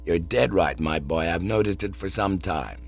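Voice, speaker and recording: A man voicing a stout, blustering old American theatre boss in a storybook reading, gruff and blunt. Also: sits low, expressive, moderate pace, muffled recording, pitched below the performer's own voice, heavily acted. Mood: doting, deferential. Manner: gruff, barking, doting on his son.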